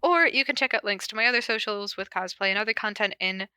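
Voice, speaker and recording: Bright-voiced woman, casual and giggly. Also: mid-range voice, quick pace, smooth, dry recording, studio quality. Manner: giggly, informal. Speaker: podcast host reading fan fiction aloud. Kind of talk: casual chat and cold reading of fan fiction.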